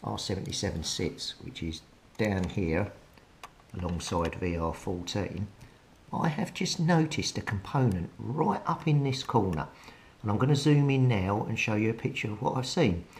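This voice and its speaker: A man's voice: on the low side, animated, normal speed, darkish tone. A British man giving a casual, hands-on repair commentary, thinking aloud with some hesitation as he works. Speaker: hobbyist radio repairer presenting a repair video. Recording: clearly noisy recording with clicks.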